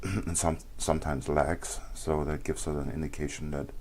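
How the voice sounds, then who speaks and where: A man hosting a live trading session, explaining charts casually, his voice worn and sore, often clearing his throat and pausing with ums. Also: rumbling low, little pitch movement, darkish tone, slight background noise. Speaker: trading stream host.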